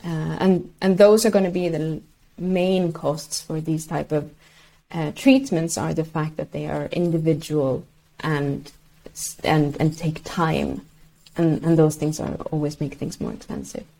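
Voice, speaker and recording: A woman whose first language is Swedish speaks in a lowish, mellow voice. She explains things patiently as an interview guest, pausing often and searching for words. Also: steady tone, poor audio. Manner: hesitant, searching for words, many fillers. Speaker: guest researcher.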